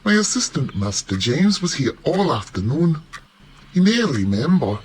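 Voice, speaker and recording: A man's voice: fairly high pitch, big pitch swings, moderate pace, breathy edge. A man playing a polite, formal, kindly shopkeeper in a children's radio play. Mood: apologetic. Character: courteous male shopkeeper, kindly and apologetic. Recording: faintly noisy home video-call recording.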